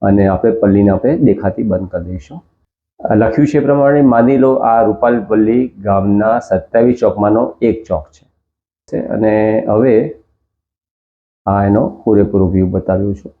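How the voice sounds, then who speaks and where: A man hosting, slowly explaining a project as he demonstrates it on screen. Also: fairly low pitch. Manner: slow, explanatory, walking through a demo. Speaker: host. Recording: tinny phone-like sound.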